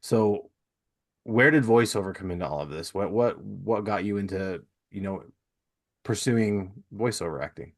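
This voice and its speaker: A man with a low-pitched voice hosts a relaxed conversation. He speaks informally and agreeably, like someone chatting casually with a guest on a podcast. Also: moderate pace. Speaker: podcast host. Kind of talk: casual interview chat.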